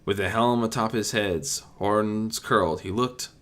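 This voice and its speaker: A man's voice: fairly low pitch, unhurried, a bit bright. A man narrating fan fiction as a reader, in a dramatic but homemade storytelling style.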